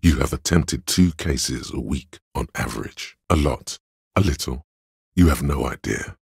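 Very deep, gravelly voice of logic. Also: male voice, dry recording, clean studio sound, heavily acted. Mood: dry, resigned. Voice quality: gravelly, husky. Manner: measured, deliberate, dryly condescending. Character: inner voice of cold, dry deductive logic. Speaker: voice actor. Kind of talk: video game voice-over, scripted narration. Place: dry studio recording.

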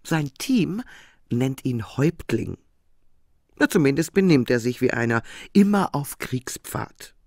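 A woman's voice: extremely low pitch, lively intonation, a little slow, warm tone, no room sound, studio quality, heavily acted. Stressed out prosecutor lady, sardonic and snippy, swoops around a lot, crime radio play. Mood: irritated, sardonic. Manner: exasperated, clipped. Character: harried, irritable female senior prosecutor, brisk and sardonic. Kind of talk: German radio crime drama.

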